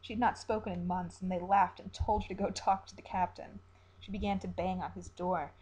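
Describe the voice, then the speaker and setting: A woman with a husky, slightly rough voice narrates a grim story. She delivers it as a dramatic storyteller reading from a script. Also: lowish voice, flat delivery, fairly quick, darkish tone, poor audio.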